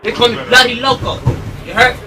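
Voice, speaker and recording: A man's voice: extremely high pitch, steady tone, brisk, bright, forceful tone, recorded from a distance, exaggerated voice. A man playing a belligerent, boastful street tough who swears and threatens, in soundboard clips on a prank call. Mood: menacing, boastful. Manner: boastful, profane, confrontational. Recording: noisy lo-fi soundboard audio over phone.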